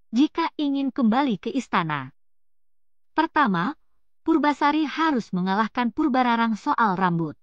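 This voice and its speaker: High-voiced woman telling a folktale. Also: dry recording, muffled recording. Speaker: storyteller, narrator. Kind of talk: scripted folktale narration.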